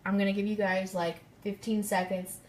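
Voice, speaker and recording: A woman's voice: mid-range voice, flat delivery, normal speed, a little mellow. A woman hosting a casual live-streamed radio quiz, chatty and speaking directly to her listeners. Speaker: radio show host.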